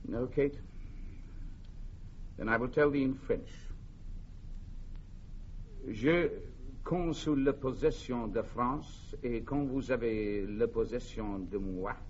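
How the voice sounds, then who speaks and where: A man's voice: mid-range voice, darkish tone. A bold warrior king, plain-spoken and ardent, shakespeare radio drama, dull old recording with faint hiss. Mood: playful, persuasive.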